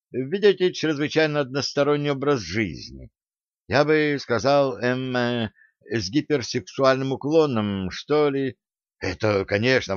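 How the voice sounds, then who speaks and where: Halting, prying investigator voiced by a male narrator. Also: medium pitch, dry recording, highs cut off, natural voice. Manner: halting, mumbling, hedging. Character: prying, tactful middle-aged male investigator, hesitant and insinuating.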